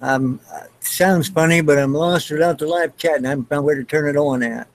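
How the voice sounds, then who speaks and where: A man joining a live video call, talking in a halting, hesitant way. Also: a little high, fairly even intonation. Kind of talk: casual chat.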